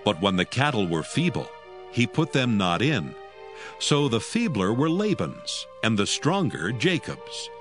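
Male scripture narrator: solemn, measured, mid-pitched, expressive intonation, light music bed.

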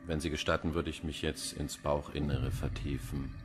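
An actor playing a cynical, erudite middle-aged police pathologist in a German radio crime drama, wordy and dryly pedantic. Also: male voice, extremely low pitch, fairly even intonation, normal speed, soft background music. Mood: clinical, detached. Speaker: forensic pathologist character.

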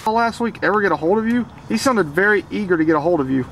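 A man playing a high-voiced, offhand camper. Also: animated, quick pace, background noise. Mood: casual. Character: young man camping, later bitten. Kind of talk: amateur film acting.

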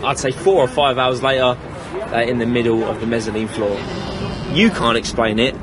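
A man's voice: medium pitch, fairly even intonation, normal speed, background noise, lightly acted. A man playing a baffled warehouse worker, talking in a plain, emphatic, colloquial way.

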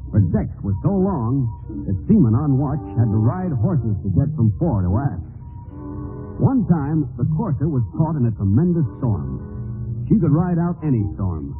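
A man narrating scripted stories as a 1940s radio storyteller. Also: mid-range voice. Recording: tinny old radio recording.

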